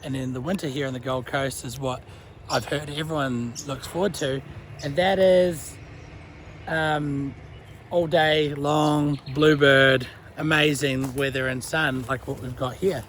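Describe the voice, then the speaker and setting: A man's voice: medium pitch, a little slow. Young kiwi guy vlogging outside on a walk, laid back chatty, bit of background noise. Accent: New Zealand. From New Zealand. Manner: chatty, relaxed, uses Kiwi slang. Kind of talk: casual walk-and-talk vlog. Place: outdoors by a creek, walking a dog.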